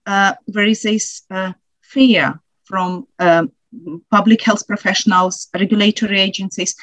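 A woman in her forties speaks English with a Ukrainian accent, weighing each point and pausing often with fillers. Her voice sits at a middle pitch. The sound is dry and close, with no room around it. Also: steady tone, a bit bright, dry recording. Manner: thoughtful, hesitant with many fillers. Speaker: guest researcher. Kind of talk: panel discussion.

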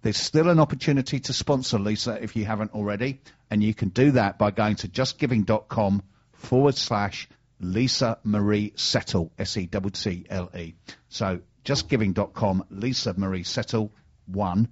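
A man's voice: lowish voice, moderate pace. A middle-aged man with a British accent, hosting a radio show with casual chat and sponsor messages. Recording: dull, band-limited sound.

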